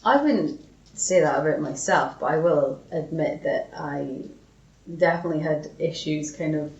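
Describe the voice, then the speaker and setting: Woman podcaster, lowish voice, casual chatty, bit muffled and roomy. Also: normal speed. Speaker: podcast co-host. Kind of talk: casual chat and dramatic reading of old arguments. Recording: dull, echoey room sound.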